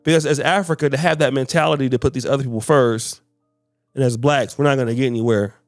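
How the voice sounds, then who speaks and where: Halting man, podcast host. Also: mid-range voice, steady tone, dry recording, clean studio sound, faint music behind. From United States. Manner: halting, repeats words while searching for phrasing. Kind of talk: casual podcast discussion.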